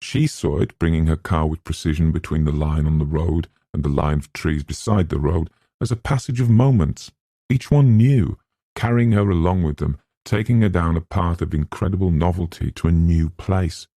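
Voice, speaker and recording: A man narrating a literary novel as an audiobook in measured, scripted storytelling. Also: low-pitched, dark tone, no echo, clean studio sound.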